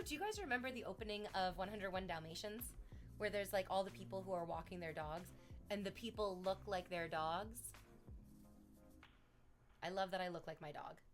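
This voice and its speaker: Relaxed, chatty woman livestreaming, drifting between talk with her viewers and sweet baby talk to her dog. Her voice sits at an ordinary middle pitch. Soft music plays low behind her. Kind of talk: casual livestream chat.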